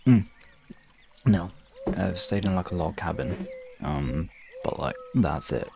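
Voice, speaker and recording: A man's voice: low voice, animated, slow pace, vocal fry, background noise. A man chatting casually as a live streamer, rambling and correcting himself with mild swearing. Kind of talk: casual livestream chat.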